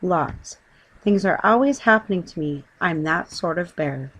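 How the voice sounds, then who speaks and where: A woman's voice: fairly low pitch, lively intonation, unhurried, fairly bright tone, rough recording. An earnest little storybook bear, voiced by a woman. He is polite and speaks in a matter-of-fact way. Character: small, earnest, polite young male bear.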